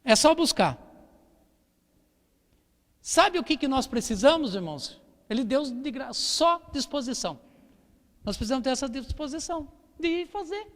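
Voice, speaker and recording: A man's voice: extremely high pitch, animated, moderate pace, pauses often. A man with a Brazilian Portuguese accent preaching a church sermon, exhorting with repetition and rhetorical questions. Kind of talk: church sermon with Bible reading. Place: church hall during a service. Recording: long hall reverb.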